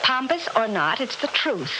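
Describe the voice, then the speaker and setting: A haughty, mature society woman with a fairly high voice. She is formal and wry, quick to scold. The acting is 1960s radio drama, heard on a noisy old recording. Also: sing-song. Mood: reproachful. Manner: formal, pompous, crisp reproach.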